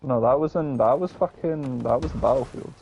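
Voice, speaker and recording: A man's voice: medium pitch, brisk, vocal fry, muffled recording, a loud crowd. A young man with a Scottish accent chatting casually and telling rambling, sweary stories while he plays a game. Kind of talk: casual chat and storytelling while gaming.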